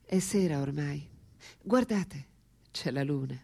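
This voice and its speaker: An actress playing a philosopher's lifelong companion, a woman writer in a radio drama, speaking quietly with composed, deliberate gravity and tenderness. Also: low-pitched, vocal fry, breathy edge, heavily acted. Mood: tender, curious. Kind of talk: radio drama acting and intimate narration.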